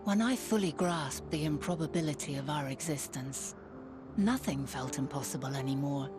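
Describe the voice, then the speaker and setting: A serene ancient scholar voiced by a woman, speaking in a very low, grave voice with slow, reverent, old-fashioned phrasing. Her lines come as game cutscene dialogue over a swelling orchestral score. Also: music in the background, lightly acted. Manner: slow, solemn and reflective, archaic phrasing.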